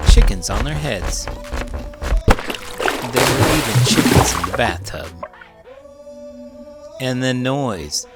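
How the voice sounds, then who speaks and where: A man reading a children's book aloud in an expressive way, with big swings in volume. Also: medium pitch, slow pace, long pauses, over loud music. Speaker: children's book reader and teacher. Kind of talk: animated storybook read-aloud.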